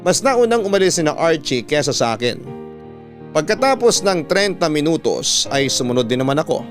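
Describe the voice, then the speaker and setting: Filipino male radio storyteller over guitar music. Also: mid-range voice. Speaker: host and narrator of a radio love-story show. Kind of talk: scripted dramatic narration of a letter.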